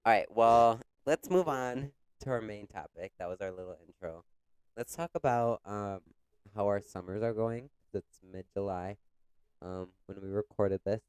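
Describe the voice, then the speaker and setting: A young man with a congested, stuffy voice chats loosely on a podcast, piling on filler words as he tells stories. His voice sits low and comes across smooth and clean. The sound is dry and close. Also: measured pace, darkish tone, no echo. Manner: chatty, many "like" fillers, retells stories with quoted voices. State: congested with a cough. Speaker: podcast co-host. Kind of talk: casual chat.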